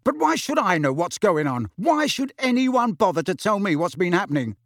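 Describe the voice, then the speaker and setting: A man voicing an angry teenage boy wizard who shouts bitter, sarcastic questions. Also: high voice, lively intonation, normal speed, dry recording, studio quality, voice pushed up. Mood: furious.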